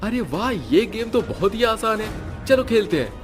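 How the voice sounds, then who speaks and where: A man's voice: sits high, big pitch swings, a little slow, soft background music, voice pushed up. A man voicing a naive, curious young man among friends in a cartoon story, youthful in manner. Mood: eager.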